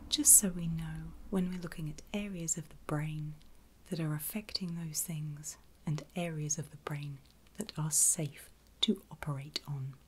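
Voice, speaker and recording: A woman whispering in a breathy, gentle and reassuring way, unhurried, as a nurse in an ASMR medical roleplay. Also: low-pitched, fairly even intonation, slight background noise. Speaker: ASMR performer playing a surgical nurse.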